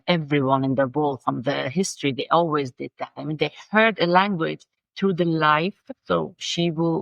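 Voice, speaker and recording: Gravelly low-voiced Persian-accented woman. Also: dry recording. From Iran. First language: Farsi. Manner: halting, searching for words, frequent restarts. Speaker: guest, designer and language coach. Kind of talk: casual interview conversation.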